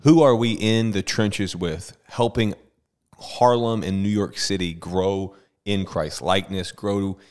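A man hosting a church podcast as a pastor, chatty and quick, often stumbling and restarting mid-thought. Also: sits low, darkish tone, clean tone.

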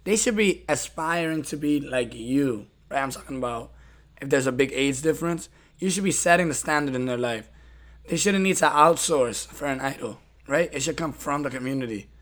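A man's voice: medium pitch, moderate pace, fairly bright tone. A man hosting a casual podcast conversation, speaking directly and emphatically and often checking in after his points. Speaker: podcast host.

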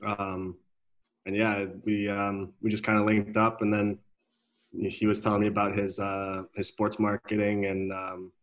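A young man from Denmark talks about his life as a guest in a casual interview. His voice is deep and quite flat. He pauses often with ums and restarts. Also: rough recording. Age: young adult. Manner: hesitant, many ums and restarts.

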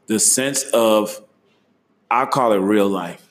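A man's voice: lowish voice, slow pace. Slangy, laid-back young man hosting. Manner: relaxed, slangy, laughs easily. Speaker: host. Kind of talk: casual travel chat.

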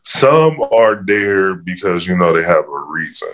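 A man's voice: deep voice, very animated, measured pace, fairly bright tone, rough recording. A man who coaches a girls' basketball team, answering interview questions casually and filling his speech with "you know". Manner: easygoing, fills with "you know".

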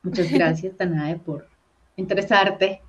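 A woman's voice: lowish voice, fairly even intonation, unhurried. Chatty woman on a dull lo-fi call. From Colombia. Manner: chatty, many fillers and repeated words. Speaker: actress and comedian, guest. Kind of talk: casual interview chat. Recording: dull lo-fi sound.

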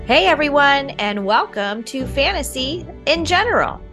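A woman's voice: sits high, expressive, normal speed, over music. A woman in her thirties co-hosting a podcast, nasal and sick with a cold, chatting in a confiding storyteller way. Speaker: podcast co-host. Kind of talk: casual chat.

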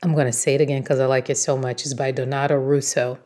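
Low-pitched woman, native Portuguese speaker, motivational talk. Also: little pitch movement, fast, dark tone. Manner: encouraging, emphatic, instructive. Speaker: podcast host, motivational coach.

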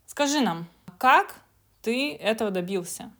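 A woman's voice: on the high side, expressive, unhurried, a bit bright. Woman co-host, conversational and searching. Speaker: podcast co-host. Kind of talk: casual podcast chat.

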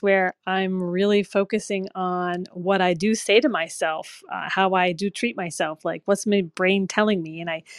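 A woman's voice: medium pitch, no room sound, studio quality. A woman joining a talk by remote call, speaking in a chatty, upbeat way with many fillers. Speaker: guest caller. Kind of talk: casual chat.

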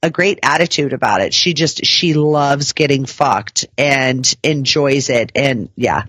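Low-pitched lady cohost, blunt and laid back, lots of ums. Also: a little slow, slightly rough, dry recording. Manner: frank, relaxed, hesitant fillers. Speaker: podcast co-host. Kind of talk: casual chat.

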